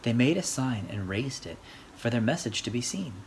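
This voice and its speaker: Highly animated children's storyteller. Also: moderate pace, rough recording. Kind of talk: expressive read-aloud of a rhyming picture book. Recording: faint background noise.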